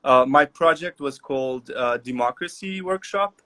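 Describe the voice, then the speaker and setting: A young man from Albania, answering interview questions over a video call with many "uh" and "um" pauses. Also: medium pitch, bright, forceful tone. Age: young adult. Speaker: guest.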